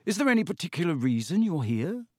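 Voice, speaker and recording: A man's voice: on the high side, sing-song, fast, dark tone, dry recording, studio quality, pitched above the performer's own voice. A man voicing a polite, nervous young boy in an audiobook, hesitant and earnest. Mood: awkward, polite. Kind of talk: audiobook character voice.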